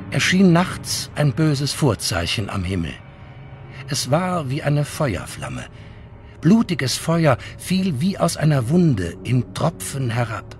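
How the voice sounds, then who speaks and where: Male reader for historical accounts, unhurried, widely varied intonation, dramatic. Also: medium pitch, a little hiss or hum. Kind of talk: dramatic scripted reading of a chronicle.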